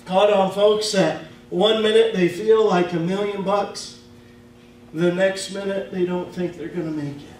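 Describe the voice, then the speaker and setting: Midwestern man, pastor, high voice, slow emphatic sermon, heard from afar in a booming church with faint hum. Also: fairly even intonation, darkish tone, heavy reverb, recorded from a distance, rough recording. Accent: Midwestern American. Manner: slow, emphatic preaching with casual grammar. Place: church sanctuary.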